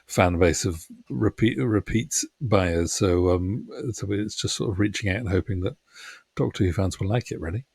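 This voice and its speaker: A man's voice: low-pitched, normal speed, creaky, darkish tone, slightly rough, dry recording. A man speaking casually as an interview guest, hesitant and self-effacing in how he talks.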